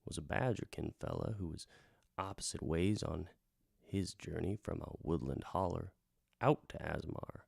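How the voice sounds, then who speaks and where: A low-voiced man narrates like a wandering bard in a radio drama. His delivery is unhurried and theatrical, with folksy, florid phrasing that drops into hushed emphasis. The voice has a gravelly fry and sounds close and dry. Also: expressive, no echo. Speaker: host, storytelling bard. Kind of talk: theatrical first-person fantasy storytelling, radio drama.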